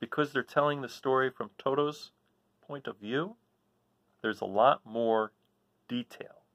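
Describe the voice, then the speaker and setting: Gravelly American man, halting casual chat. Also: mid-range voice, a bit bright. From New Jersey, United States. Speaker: read-aloud host. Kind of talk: casual explanatory chat to children. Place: small living room, seated in an armchair.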